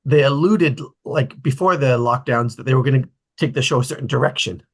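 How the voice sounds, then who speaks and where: A man's voice: medium pitch, brisk. A man with a mid-range voice leads a casual group discussion. His phrasing is informal and a little tentative, as if thinking aloud with the others. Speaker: table read host.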